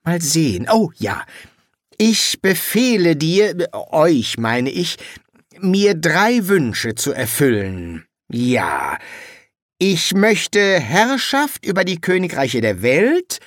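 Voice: mid-range voice, sing-song, slow pace, no room sound, studio quality, voice pushed up. A narrator voicing an eager, gullible young male demonologist who makes demands and then pleads wistfully. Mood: demanding. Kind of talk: audiobook reading.

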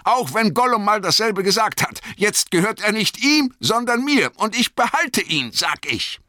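A man's voice: high voice, sing-song, moderate pace, sharp tone, dry recording, studio quality, voice pushed up, exaggerated voice. A man voicing an elderly hobbit in an audiobook, possessive, irritable and suspicious, with a stammering, querulous manner. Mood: stubborn, angry.

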